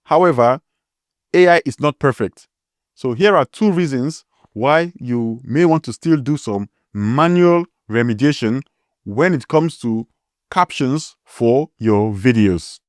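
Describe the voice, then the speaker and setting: A man's voice: mid-range voice, expressive, slow, no room sound, studio quality. A man with a strong Nigerian accent hosting a tutorial, explaining step by step and spelling words out letter by letter. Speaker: tutorial host.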